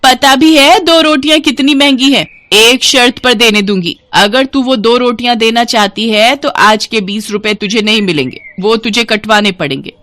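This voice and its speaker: A woman's voice: on the high side, normal speed, few pauses, a bit bright, close to an everyday voice. A woman voicing a stingy, bossy sister-in-law in a moral storybook tale, with a haughty, scolding manner. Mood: scornful.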